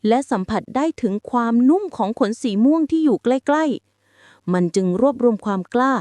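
A woman reading a bedtime story aloud in a slow, soothing way. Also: a little high, lively intonation, a little mellow, dry recording.

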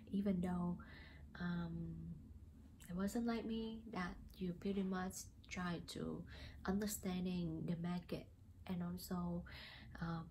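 Vietnamese-accented young woman, gravelly and hesitant. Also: lowish voice, mellow, a little hiss or hum, low-quality recording. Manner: hesitant, many fillers, gesturing with hands. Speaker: real estate agent vlogger. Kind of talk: casual talk to camera. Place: small plain indoor room.

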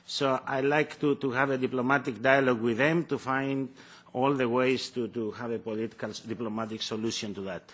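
A man presenting his petition at a parliamentary hearing, halting and repeating words as he speaks into a desk microphone in a large committee room. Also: medium pitch, steady tone, a bit bright, breathy edge. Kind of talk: formal hearing statement.

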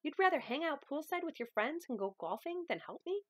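A woman's voice: high-pitched, big pitch swings, a little fast, no echo, studio quality, pitched above the performer's own voice. A woman reading a novel aloud as a hurt, defensive woman of thirty-one, emotional and pleading.

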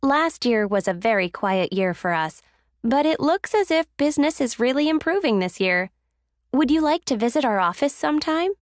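A woman's voice: sits high, expressive, moderate pace, no room sound, clean studio sound. A woman playing a friendly small-business owner in scripted small talk for a language listening exercise. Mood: friendly, upbeat. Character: friendly woman running a small design company.

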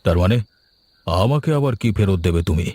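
A man's voice: sits low, animated, brisk, darkish tone, no echo. A man voicing a cowardly, stammering, flustered villager in a horror cartoon. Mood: nervous.

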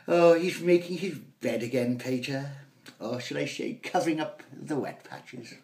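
A man's voice: medium pitch, a little slow, creaky, a little mellow. A man voicing a haughty, bossy upper-class mother with a plummy, snobbish way of talking. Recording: roomy echo.

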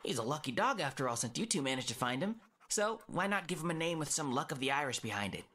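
A man's voice: a little high, sing-song, quick pace, sharp tone, slight background noise, voice pushed up. A man voicing an eager, friendly young man with an earnest manner in an audiobook.

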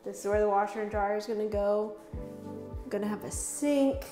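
A woman's voice: mid-range voice, moderate pace, dark tone. Chatty young woman in echoey empty rooms. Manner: chatty and upbeat, laughs often. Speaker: vlogger giving a house tour. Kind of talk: casual house tour narration. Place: large empty house, indoors. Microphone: camera mic from a few steps away. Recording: roomy reverb in empty rooms, music underneath.

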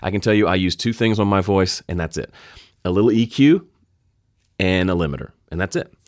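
A man in his thirties with a slightly nasal voice, explaining podcast audio steps in a friendly, even tone, recorded close on a studio mic in his home studio. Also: low-pitched, a little fast, dry recording. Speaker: audio tutorial host. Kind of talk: screen-recorded software tutorial. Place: home studio with keyboards and guitars. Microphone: studio condenser mic close up.

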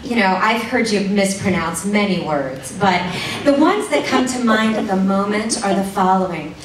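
A woman's voice: mid-range voice, lively intonation. Theatrical woman reading on an echoey stage. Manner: theatrical, mock-indignant, drawn-out pronunciations. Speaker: stage performer reading letters. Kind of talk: dramatic reading of letters on stage. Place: large event studio stage with audience. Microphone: stand mic, recorded from far camera. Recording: echoey hall, distant mic, room noise.

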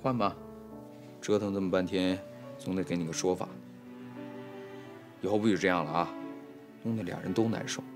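A man's voice: low voice, fairly even intonation, moderate pace, long pauses, natural voice. A man playing a harried lord of a household who is exasperated, scolding and flustered, in an audio drama. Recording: background music under the voice.

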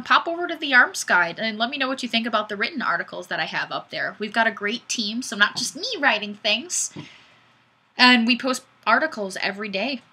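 A woman's voice: sits high, slightly rough. A woman in her twenties hosting a casual webcam livestream, chatting goofily and reading out viewer comments. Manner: bubbly and goofy, bursts of squealing excitement. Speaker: livestream host. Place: small indoor room, plain wall. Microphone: webcam mic.

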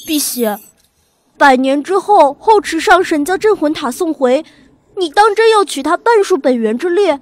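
A woman's voice: extremely high pitch, animated, moderate pace, voice pushed up. A woman voicing a young female immortal in an audio drama, asking a question.

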